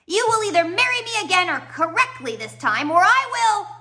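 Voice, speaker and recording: Woman putting on a menacing, forceful villainous count. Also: very high voice, very animated, brisk, pitched above the performer's own voice, full character voice. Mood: grimly threatening. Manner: menacing, insistent, roaring outbursts. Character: villainous grown man, a furious scheming count. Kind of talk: storybook character voice.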